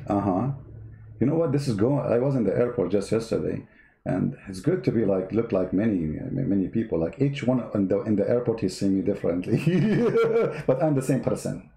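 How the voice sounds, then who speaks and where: A man's voice: on the low side, creaky, warm tone, roomy sound. A man whose first language is not English, mocking a played clip in sarcastic commentary. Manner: sarcastic, mocking, repeats words. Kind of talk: mocking commentary over clips.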